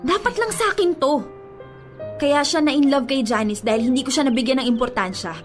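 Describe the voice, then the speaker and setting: A woman's voice: high-pitched, a little fast, close to an everyday voice. An actress playing a desperate, stubborn young Filipino woman clinging to her ex in a radio drama, pleading and insistent. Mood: pleading, determined. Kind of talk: radio drama acting in Taglish. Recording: music behind the voice.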